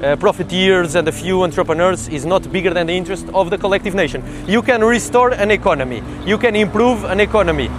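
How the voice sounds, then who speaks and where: A man makes a political argument in a high voice, steady and insistent, pausing on fillers. Traffic noise hums clearly behind him outdoors. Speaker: political speaker.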